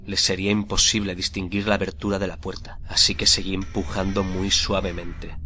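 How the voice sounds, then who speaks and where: An actor playing a nervous, obsessive murderer confessing his crime in a theatrical, deliberate radio drama monologue. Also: low voice, flat delivery, slight background noise. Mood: hushed, sly. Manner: theatrical, deliberate, swelling from hushed to frenzied. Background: music under the voice.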